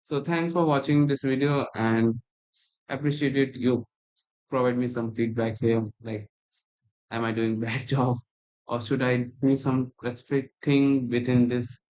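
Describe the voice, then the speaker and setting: A man teaching a coding tutorial while screen recording, talking in a halting, rambling way with non-native phrasing, recorded on a laptop's built-in mic. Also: medium pitch, flat delivery, darkish tone, dull audio. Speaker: programming tutor. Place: at a computer, indoors.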